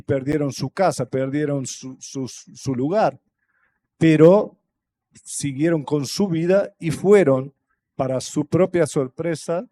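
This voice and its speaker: A man from Brazil whose first language is Portuguese, speaking Spanish with a Brazilian accent on a festival panel, thoughtful and halting, with many fillers and restarts. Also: on the high side, mellow. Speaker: panel guest, writer. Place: stage at a public festival panel.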